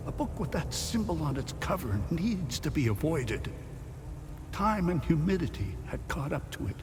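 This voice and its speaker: A solemn, devout older abbot. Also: male voice, a little high, background noise. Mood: measured, wary. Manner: formal, pious, grave phrasing. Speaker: video game character, abbot. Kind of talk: dramatic game dialogue acting. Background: music.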